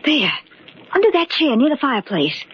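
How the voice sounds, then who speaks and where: Keen young sidekick sweetheart in old radio whodunit, earnest, bit of hiss and muffle. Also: female voice, sits high, very animated, normal speed. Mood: eager. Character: loyal, eager young woman helping her sweetheart solve murders. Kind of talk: old-time radio mystery acting. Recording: muffled, noisy old radio drama recording.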